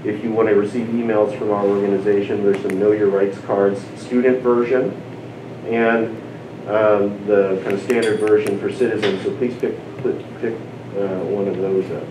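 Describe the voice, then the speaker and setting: Halting earnest man in an echoey room. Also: fairly low pitch, steady tone. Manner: halting, many fillers and restarts, earnest. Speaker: civil liberties group representative. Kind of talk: panel moderation remarks. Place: event room at a community panel. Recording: echoey, distant, noisy.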